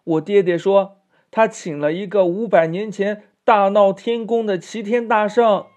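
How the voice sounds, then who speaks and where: A man's voice: high voice, steady tone, measured pace, voice pushed up. A man voicing a bold, taunting monkey king in a storybook tale, cocky and challenging. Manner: shouted challenges, cocky teasing. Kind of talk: children's storybook character voice. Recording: muffled lo-fi audio with music behind.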